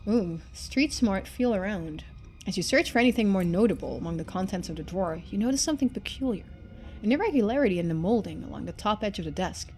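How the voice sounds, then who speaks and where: A woman streamer reading a story game aloud in expressive narration, with casual asides. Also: medium pitch.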